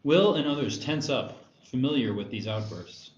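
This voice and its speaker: A man's voice: medium pitch, animated, moderate pace. A man reading stage directions as narrator at a screenplay table read. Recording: dull echoey room, noisy.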